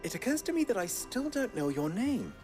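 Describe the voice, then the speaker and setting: An actor playing a wry, sardonic merchant fixer with a dry, teasing manner in a game cutscene. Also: male voice, high voice, sing-song, brisk, darkish tone, faint music behind. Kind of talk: video game cutscene acting. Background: game score.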